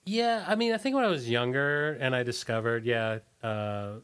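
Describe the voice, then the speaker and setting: A man's voice: medium pitch, animated, moderate pace, fairly bright tone, clean tone. A man talking casually as an interview guest, telling anecdotes in a loose, fragmented way. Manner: loose, fragmented storytelling peppered with 'like'. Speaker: musician being interviewed as guest.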